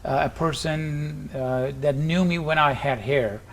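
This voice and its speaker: A middle-aged man hosting an interview, asking questions haltingly with frequent pauses. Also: on the high side. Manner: hesitant, many fillers and restarts. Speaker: interview host.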